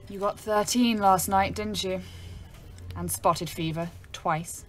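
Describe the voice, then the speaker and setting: A droll woman ambulance driver acted by a woman in a wartime audio play. She has a teasing, dry manner and a voice of middle pitch. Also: fairly even intonation, slow pace, faint music behind, lightly acted. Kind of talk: audio drama acting.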